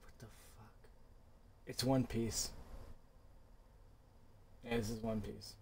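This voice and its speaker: A man's voice: lowish voice, level tone, slow, creaky, a little mellow, slight background noise. A man doing casual gaming commentary, grumbling impatiently and repeating himself. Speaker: gaming video creator.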